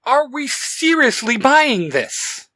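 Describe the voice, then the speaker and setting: A woman voicing a sarcastic, distrustful, hot-headed male team leader in a dramatic audiobook, loud and sneering. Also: high voice, big pitch swings, slow pace, no echo, full character voice. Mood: skeptical. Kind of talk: dramatic audiobook character acting.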